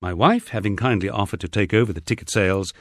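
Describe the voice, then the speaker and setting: A man narrating a first-person memoir as an audiobook, reading in a measured, expressive storytelling manner. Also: fairly low pitch, no room sound, clean studio sound.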